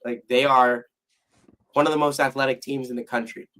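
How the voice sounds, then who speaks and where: Hoarse guy on a sports podcast, voice nearly gone, rambly, keeps restarting. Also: mid-range voice, level tone, moderate pace, sharp tone. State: hoarse, losing his voice. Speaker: podcast co-host. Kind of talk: casual sports podcast chat.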